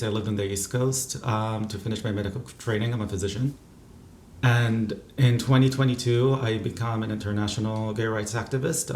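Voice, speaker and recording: A man's voice: on the low side, a little mellow, roomy sound, slight background noise. A man from Qatar in his thirties, answering interview questions thoughtfully, with fillers and restarts. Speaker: interview guest, physician and activist.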